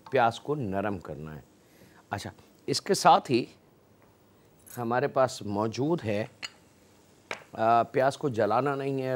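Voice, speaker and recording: Pakistani man explaining a recipe step by step. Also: medium pitch. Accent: Pakistani Urdu. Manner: addresses viewers politely as janab, explains step by step. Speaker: cooking show host. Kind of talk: cooking demonstration with explanation.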